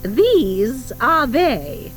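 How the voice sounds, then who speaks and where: A woman's voice: fairly high pitch, very animated, extremely slow, slight background noise, voice pushed up, exaggerated voice. A woman voicing a stern, icy schoolmistress witch in an audiobook, with a clipped, disdainful and sarcastic manner. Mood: nasty, commanding.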